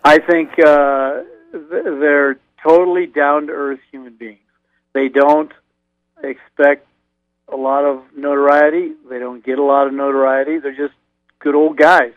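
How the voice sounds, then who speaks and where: A man calling in as a radio guest, talking about college football in a halting, low-key way with frequent restarts. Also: level tone, dry recording. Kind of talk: casual radio interview over the phone. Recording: tinny phone line.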